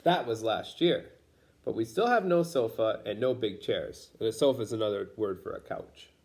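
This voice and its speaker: A man's voice: lowish voice, expressive, moderate pace. Man reading a children's picture book aloud. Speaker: storybook reader.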